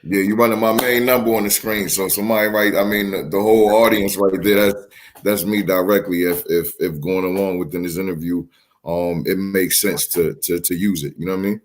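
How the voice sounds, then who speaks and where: A man's voice: low-pitched, little pitch movement, a little fast, slight background noise. A young man from Philadelphia, a company CEO as a guest, talking casually with hesitations and repeated words. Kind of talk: casual interview talk.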